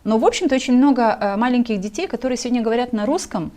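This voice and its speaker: A woman's voice: on the high side, expressive, rapid-fire, a little mellow. A woman from Gagauzia hosting an interview, asking pointed, pressing questions. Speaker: interview host.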